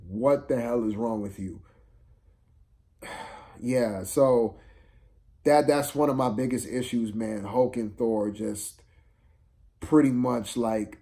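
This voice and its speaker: A man giving a casual, unscripted film review rant, exasperated and slangy, repeating himself for emphasis in a halting way. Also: medium pitch, warm tone.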